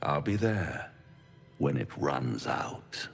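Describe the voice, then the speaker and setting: Deep, theatrical, silky devil. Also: male voice, very animated, takes it slowly, gravelly, big-room sound, faint music behind, full character voice. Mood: mocking menace. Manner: pompous, silky, teasing, florid delivery. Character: suave, theatrical male devil, smug, menacing tempter. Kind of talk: theatrical video game acting.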